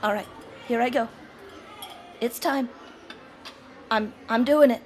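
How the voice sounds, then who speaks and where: A woman's voice: a little high, takes it slowly, a faint crowd. A woman playing an earnest, suspicious young alien disguised as a preteen boy in an audio drama, talking in stiff, formal, over-dramatic reports. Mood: solemn, determined.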